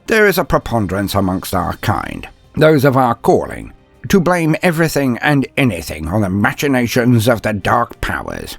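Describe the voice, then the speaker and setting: A man playing a gruff, blunt older male inquisitor and worldly mentor in an audio story, hemming and teasing in a fatherly way. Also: mid-range voice, wildly swooping pitch, normal speed, no echo, faint music behind, heavily acted. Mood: stern, philosophical. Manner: hesitant euphemisms, throat-clearing, teasing bluntness.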